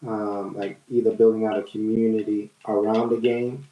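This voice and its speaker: A man on a podcast panel chatting casually, thinking aloud in halting phrases. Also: fairly low pitch, little pitch movement, dull audio, slight background noise. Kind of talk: casual chat. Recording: roomy, echoing mic.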